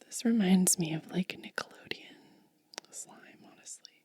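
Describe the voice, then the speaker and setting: A woman whispering in soft-spoken ASMR style, gently and unhurriedly explaining each step close to the microphone. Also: on the low side, moderate pace. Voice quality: breathy whisper. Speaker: ASMR content creator.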